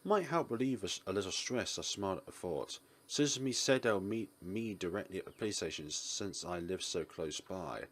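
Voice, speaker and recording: A man's voice: low-pitched, expressive, normal speed, few pauses, a bit bright. A man playing and reading a visual novel aloud with playful asides, exclamations and chuckly commentary. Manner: playful, exclaims and laughs, acts out the narrator's lines. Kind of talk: gaming commentary, reading game text and joking asides.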